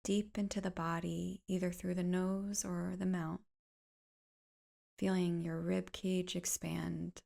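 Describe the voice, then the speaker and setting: A woman leading a guided meditation in a soft, gentle, soothing way, with unhurried phrases that turn breathy at the ends. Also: on the low side, flat delivery.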